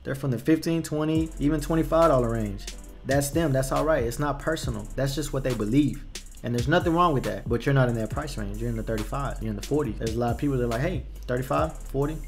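A man's voice: medium pitch, fast talker, soft background music. Young man explaining barber pricing, casual. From Texas. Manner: conversational, repeats words while thinking, addresses viewers as "you guys". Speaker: barber teaching business. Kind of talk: casual screen-share tutorial. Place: small room indoors. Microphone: desk microphone at a computer, webcam in corner.

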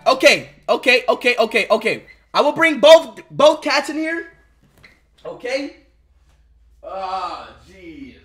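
A young man livestreaming from his bedroom on a headset mic, loud and excitable, often yelling at his chat. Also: very high-pitched. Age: young adult.

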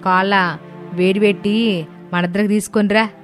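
A woman's voice: mid-range voice, steady tone, moderate pace, close to an everyday voice. A woman voicing a firm but caring older mother-in-law who speaks instructively. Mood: kindly bossy. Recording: music and rain sound effects behind.

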